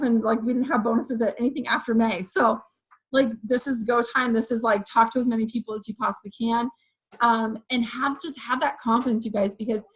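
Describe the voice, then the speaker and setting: A woman with a hoarse voice giving an energetic motivational pep talk to her sales team, often checking in with "okay" and "you guys". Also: on the high side, poor audio. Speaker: direct sales team leader.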